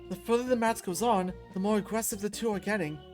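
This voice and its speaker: A woman reading a visual novel aloud as expressive first-person story narration. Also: medium pitch, fast, soft background music.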